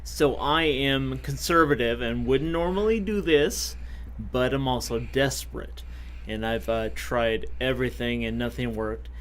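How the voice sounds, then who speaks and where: A man of fifty, a podcast guest, telling his own story in a casual, halting way with many fillers and self-corrections. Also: mid-range voice, a little hiss or hum. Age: 50s.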